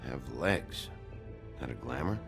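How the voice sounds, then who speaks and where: Very deep, gravelly gruff detective. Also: male voice, animated, slow pace, heavily acted. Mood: terse, probing. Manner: terse, blunt. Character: gruff, weary male sheriff detective with a temper. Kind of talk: video game voice acting. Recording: loud music under the voice.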